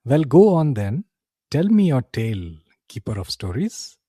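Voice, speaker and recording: Jolly male wine merchant, acted by the narrator, curious tavern regular in a fantasy tale. Mellow, dry, unhurried. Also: medium pitch, sing-song, no room sound. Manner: curious, encouraging. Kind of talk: fantasy audio storytelling dialogue.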